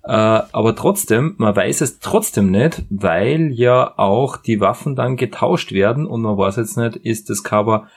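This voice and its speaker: A man's voice: on the low side, measured pace, few pauses, slightly rough. A man with a Bavarian-Austrian accent co-hosting a podcast, chatting casually in folksy, repetitive phrasing. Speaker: podcast co-host. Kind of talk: casual chat.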